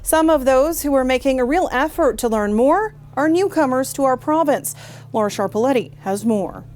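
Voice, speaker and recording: A woman reading the news as anchor in a steady, formal way. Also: high voice, normal speed, a little hiss or hum.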